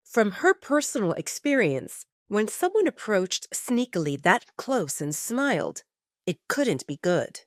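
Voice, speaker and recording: 抑揚豊かな女性のドラマ朗読. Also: female voice, mid-range voice, dry recording, clean studio sound. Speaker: audio drama narrator. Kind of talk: scripted romance fiction narration.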